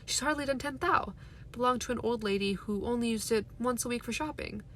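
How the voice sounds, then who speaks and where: A woman's voice: on the high side, a little fast, a bit bright, a little hiss or hum, pitched above the performer's own voice, lightly acted. A woman reading as a boastful, bullying crooked dad. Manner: brash, preaching his tricks with pride. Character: boastful, bullying crooked car-dealer father, middle-aged man. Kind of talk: storybook read aloud.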